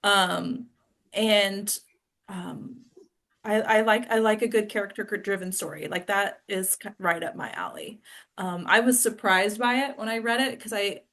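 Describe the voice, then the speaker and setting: A woman hosting a casual group discussion, speaking in a halting way with many fillers. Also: medium pitch, fairly bright tone. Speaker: host leading a discussion.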